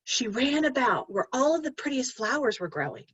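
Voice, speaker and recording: Female primary teacher for storybook read-aloud, lively swooping delivery, instructive, on a dull, dry lo-fi recording. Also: high voice, moderate pace, slightly rough, no room sound. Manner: expressive, instructive, addressing children directly. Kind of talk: read-aloud lesson to young learners, storybook narration.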